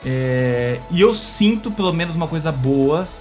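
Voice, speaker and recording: A Brazilian man hosting a casual opinion video, talking to viewers in an expressive, conversational way that often seeks their agreement. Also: a little high, unhurried, faint music behind. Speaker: YouTube channel host.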